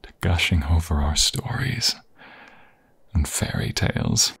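A man playing a lovestruck, pleading vampire fiancé in an intimate romantic ASMR roleplay, breathy and husky, often slipping into whispers, with halting emotional delivery. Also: extremely low pitch, wildly swooping pitch, fairly bright tone, exaggerated voice. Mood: tender, intimate.

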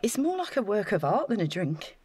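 A woman's voice: mid-range voice, sing-song, fairly quick, darkish tone, husky. An actress playing an eager, self-effacing young woman in a naturalistic audio drama, talking in a chatty, gushing way. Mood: admiring, curious.

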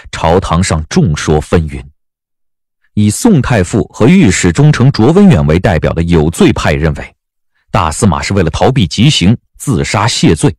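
Very deep, expressive male storyteller. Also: no room sound, studio quality. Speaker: narrator. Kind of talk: dramatic scripted audiobook narration.

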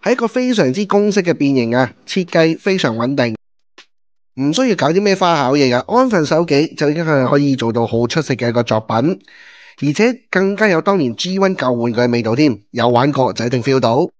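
A man with a Hong Kong Cantonese accent, giving a relaxed toy review voice-over and explaining the steps. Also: fairly high pitch, fairly quick, no room sound, lo-fi sound, faint music behind.

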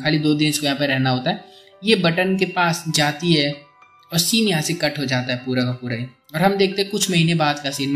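A man tells a movie's story in a chatty, colloquial voice-over. His voice sits a little high, and he often rewords things as he goes. Soft music runs underneath. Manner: colloquial, conversational, repeatedly rephrasing. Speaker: movie recap narrator. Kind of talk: casual film plot explanation in storytelling voice-over. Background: background music.

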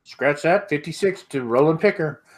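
A middle-aged man hosting an online auction, calling bids in a steady repetitive rhythm and laughing often, recorded through an earbud headset on a video call at home. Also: a little high, normal speed, slight background noise. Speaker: auctioneer host. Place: home room with sports memorabilia.

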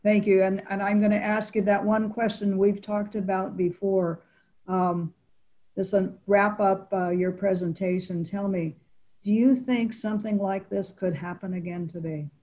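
A woman moderating an online panel from her living room, asking measured questions and thanking each guest before moving on. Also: medium pitch, fairly even intonation, dark tone. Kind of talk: interview questions. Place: home living room, indoors. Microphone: video call.

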